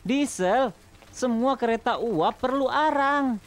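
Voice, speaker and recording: Eager, high-voiced little steam engine. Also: female voice, slow pace. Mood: earnest. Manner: eager, determined, polite. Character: earnest, hardworking young male steam engine. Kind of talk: children's cartoon dub.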